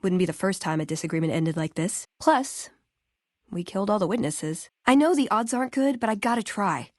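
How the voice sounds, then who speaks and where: A woman's voice: medium pitch, animated, brisk, dry recording, clean studio sound, natural voice. An American woman playing a wry, earnest young scribe in a video game, speaking in a conversational way. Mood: troubled, regretful. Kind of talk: video game character dialogue.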